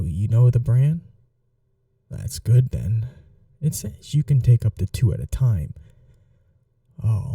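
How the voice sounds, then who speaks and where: A courteous, old-fashioned gentleman vampire played by a man with a very dark, mellow voice and a creaky edge. He speaks slowly and soothingly, with lively rises and falls, as in a caring, intimate ASMR roleplay. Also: mid-range voice, no room sound, recorded from a distance, full character voice. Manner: unhurried, polite, soothing, slightly formal.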